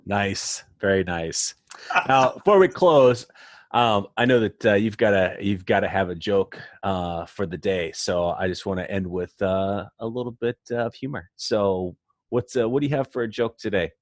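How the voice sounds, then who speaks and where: A man's voice: fairly low pitch, moderate pace, dry recording. A man hosting a podcast interview in a casual, appreciative and affirming way. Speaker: podcast host.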